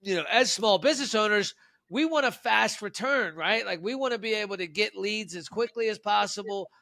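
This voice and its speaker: A man with a high, bright voice hosts a business podcast. He sounds agreeable, affirming his guest's points before steering on with leading questions, and the recording is close and dry. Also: brisk, vocal fry, dry recording. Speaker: podcast host. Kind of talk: podcast interview hosting.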